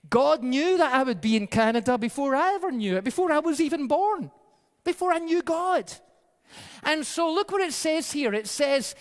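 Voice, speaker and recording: A male pastor preaches with a high voice, telling stories in a conversational way. His pace speeds up and slows down. The sound rings with long reverb, as in a large church hall. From Peru-born, raised in the UK by Scottish parents. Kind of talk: sermon.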